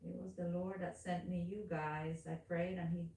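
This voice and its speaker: A woman's voice: fairly low pitch, moderate pace, creaky, distant mic. A middle-aged woman with a strong Caribbean English accent chatting with a client in her nail salon, telling stories with lots of acted-out voices. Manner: animated storyteller, acts out quoted voices. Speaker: nail shop owner. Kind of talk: casual chat while working. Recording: echoey room, mic set back.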